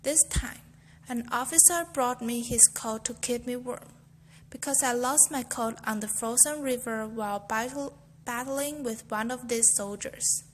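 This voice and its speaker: A woman's voice: fairly high pitch, unhurried, slight background noise. A young woman, a university student, reciting a memorized speech in a careful, earnest storytelling way. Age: young adult.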